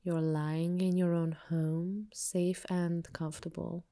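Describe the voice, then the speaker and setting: A woman yoga teacher leading a guided meditation with soothing, measured, unhurried instructions. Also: lowish voice, level tone, dark tone.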